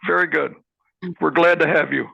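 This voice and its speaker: A man's voice: on the high side, fairly even intonation, normal speed, gravelly, pushed and bright, dull audio. A man moderating an online workshop, encouraging and upbeat with the speakers.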